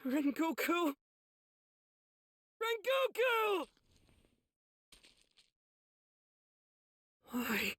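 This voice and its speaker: A man voicing an earnest, determined teenage boy swordsman in an English anime video game dub, with a breathy, husky voice. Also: extremely high pitch, very animated, extremely slow, fairly bright tone, no echo, heavily acted. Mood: startled, bewildered. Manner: earnest, breathy inner monologue bursting into exclamations.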